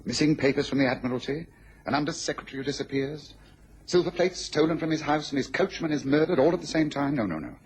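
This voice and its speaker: An actor playing a keen, self-assured Victorian gentleman detective in a radio drama, brisk and emphatic, with a British accent and a husky voice. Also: on the high side, expressive, slight background noise, close to an everyday voice, rough recording. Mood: musing.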